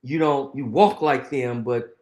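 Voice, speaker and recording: A man's voice: medium pitch, unhurried, darkish tone, echoey room. A middle-aged man, a guest on an interview show, speaking earnestly and emphatically, often repeating phrases for weight. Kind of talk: conversational interview, reflective storytelling.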